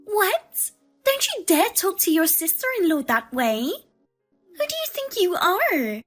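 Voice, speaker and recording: A woman voicing a demanding, scornful sister-in-law who accuses and mocks loudly. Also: very high-pitched, sing-song, takes it slowly, faint music behind, pitched above the performer's own voice, heavily acted. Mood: indignant. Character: shrill, demanding female sister-in-law, a mother.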